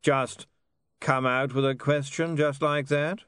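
A man voicing a baffled, testy lord who talks in a slow, pompous, bewildered way in an audiobook. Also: medium pitch, fairly even intonation, fairly bright tone, smooth, no echo, clean studio sound, pitched above the performer's own voice. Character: baffled, testy, blustering lord, easily swayed.

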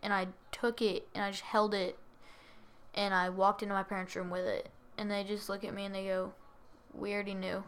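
A woman's voice: medium pitch, little pitch movement, brisk, a bit bright, slight background noise. A teenage girl co-hosting a podcast in casual chat, talking with a slight stutter and laughing easily. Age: teens. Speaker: podcast co-host.

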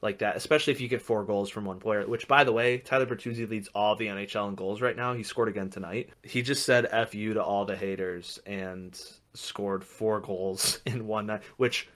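A young man chats and jokes as a podcast co-host, his speech full of fillers. His voice sits fairly low but sounds bright and forward. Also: fairly even intonation, normal speed, few pauses. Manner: chatty, joking, lots of fillers. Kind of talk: casual chat.

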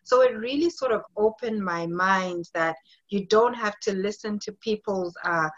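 A woman's voice: mid-range voice, muffled recording. A woman in her late thirties from Zimbabwe, a fitness coach answering interview questions in a friendly, thoughtful way with some hesitation. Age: 30s.

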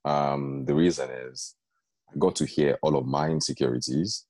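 A young man with a very deep voice, telling personal stories in an earnest, conversational way and often asking "do you know what I mean". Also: steady tone, moderate pace, darkish tone, no echo, clean studio sound. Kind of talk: casual group chat.

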